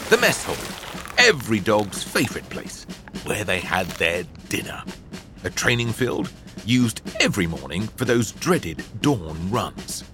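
Deep, expressive male storyteller. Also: unhurried, music in the background. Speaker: audiobook narrator. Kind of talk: scripted storybook narration.

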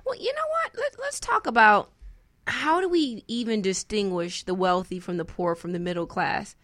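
A woman's voice: mid-range voice, animated, normal speed, fairly bright tone, no room sound. An American woman speaking as a panelist in a casual discussion, explaining her points and repeating key phrases for emphasis.

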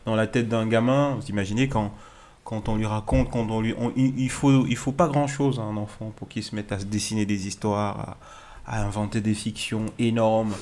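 A man in his thirties from Alsace, a guest artist recounting his path in a relaxed, storytelling way with frequent fillers, in an apartment. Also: lowish voice, normal speed. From Alsace, France. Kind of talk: casual interview answers.

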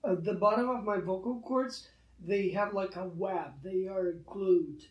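Slow, halting man in a roomy space. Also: sits high, far from the mic, dull audio. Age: 30s. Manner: halting, many restarts, earnest. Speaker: guest artist. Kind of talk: reflective interview talk.

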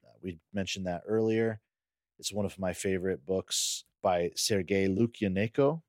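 Male American co-host, low-pitched, informal and thoughtful, recorded dry. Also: moderate pace, darkish tone, dry recording. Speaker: podcast co-host. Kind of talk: casual podcast conversation.

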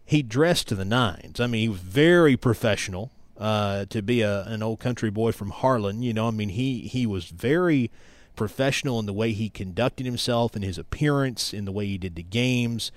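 A man's voice: fairly low pitch, moderate pace, no room sound. A middle-aged American man from East Tennessee, a sports broadcaster talking as an interview guest in an earnest, emphatic storytelling way. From East Tennessee, United States. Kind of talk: conversational interview, reminiscing.

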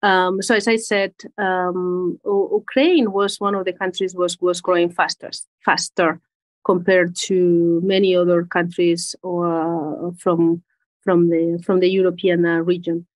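A Spanish-accented woman in her forties speaks in a fairly low voice, answering an interviewer's questions about her work. She chooses her words with care and often repeats a word while finding the phrase she wants. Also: little pitch movement, unhurried. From Spain. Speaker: corporate executive guest.

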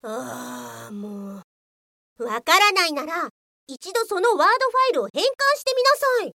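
A woman playing a sharp-tongued, exasperated police officer in a comedic radio drama, scolding and switching between polite and rude. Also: high-pitched, big pitch swings, normal speed, creaky, no room sound, clean studio sound, full character voice.

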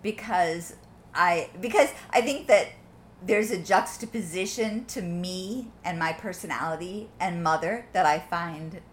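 A middle-aged woman from the Midwest with a mostly neutral American accent, chatting warmly with her grown daughter at home. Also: fairly low pitch, unhurried, a little hiss or hum. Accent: neutral American, faint Midwestern. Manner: warm, chatty, laughs easily. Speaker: mother of the co-host. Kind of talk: casual chat. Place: apartment.

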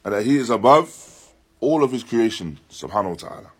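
A man with a slightly husky voice teaching an Islamic lesson in deliberate, halting phrases, restating points with emphasis and reciting Arabic. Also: lowish voice, expressive. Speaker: religious teacher giving a lesson. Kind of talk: Islamic lecture explaining a text, with Arabic recitation. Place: live-streamed lesson at an Islamic centre.

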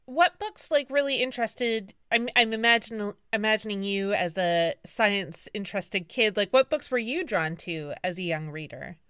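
A woman's voice: mid-range voice, lively intonation, normal speed, few pauses, a bit bright, clean tone, no echo, studio quality. A woman hosting an interview podcast, chatty and rambling, full of likes and restarts. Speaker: podcast host. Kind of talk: casual interview chat.

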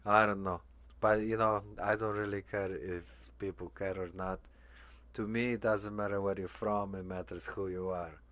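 A man's voice: on the low side, normal speed. A young man from Poland, rambling casually and swearing now and then. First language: Polish. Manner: relaxed, rambling, frequent swearing. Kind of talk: casual chat.

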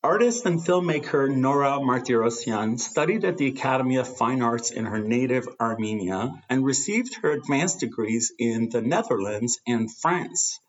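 A man's voice: medium pitch, expressive. Man reading radio intros, muffled. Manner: measured, reads from a script with some fillers. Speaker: radio host. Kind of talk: read-out voice-over introductions.

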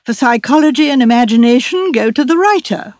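An outspoken young woman artist voiced by a female narrator teases with brisk, mocking confidence. It is a storybook character, recorded close and dry. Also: on the high side, animated, darkish tone, dry recording, voice pushed up, close to an everyday voice. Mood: brisk, ironic. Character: bold, teasing, modern young woman artist.

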